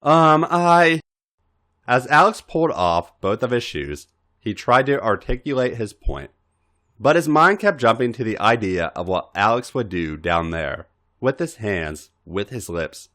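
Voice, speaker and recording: A man with a fairly deep voice reads fiction aloud for a podcast. His narration is highly animated, with big swings in pitch across the phrases. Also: unhurried. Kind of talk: expressive scripted story narration.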